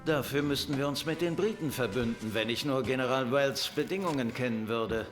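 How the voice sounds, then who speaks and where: An actor playing a proud, self-assured German military officer in a war game cutscene. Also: male voice, mid-range voice, a little fast, faint music behind, close to an everyday voice. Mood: calculating. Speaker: video game character in cutscenes. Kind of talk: dramatic game cutscene dialogue.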